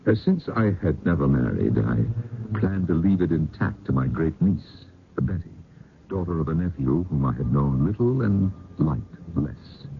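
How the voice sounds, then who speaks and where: A man playing a genial, bookish retired professor in his sixties in an old radio drama, speaking in a measured, courtly way. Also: extremely low pitch, big pitch swings, creaky, heavily acted. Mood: contented, reminiscing. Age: 60s. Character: genial retired philosophy professor of sixty-five, settled on an island. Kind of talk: old-time radio drama, first-person narration and dialogue. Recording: narrow-band old radio broadcast, faint noise.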